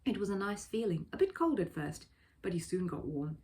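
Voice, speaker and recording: A woman reading a children's story aloud as an expressive narrator. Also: lowish voice, fairly quick. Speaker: storybook reader.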